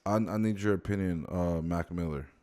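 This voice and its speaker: A man in his late twenties hosting a casual podcast, eager and quick to jump in with questions and reactions. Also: deep voice, mellow. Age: late 20s. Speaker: podcast host.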